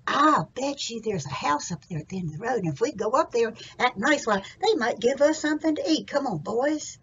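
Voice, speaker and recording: Muffled recording, woman playing a clever bossy country boy, conspiratorial, coaxing, bright tone. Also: a little high, expressive, a little fast, breathy edge, full character voice. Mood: eager, coaxing. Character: folksy, clever, bossy boy hero Jack.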